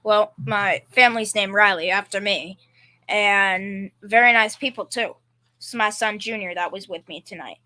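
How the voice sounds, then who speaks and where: A woman plays a good-natured family man in an old-time radio play. The voice is bright and sharp, at a medium pitch for a woman. The delivery is plain-spoken, halting and folksy, with a slightly timid streak. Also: natural voice. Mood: chatty, proud. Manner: folksy, halting, repeats words. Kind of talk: old-time radio comedy-drama acting, amateur school performance.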